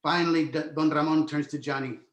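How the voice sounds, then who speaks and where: A man's voice: on the high side, steady tone, brisk. A man reading screenplay stage directions as a narrator, in an echoey room. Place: indoor room on virtual call.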